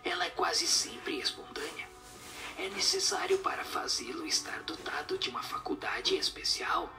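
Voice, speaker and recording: A man plays a solemn spirit in an audio drama, instructing in a breathy whisper. The delivery swoops in pitch over a faint music bed, staying didactic throughout. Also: huge pitch swings, exaggerated voice. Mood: solemn, instructive. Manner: didactic, measured explanations.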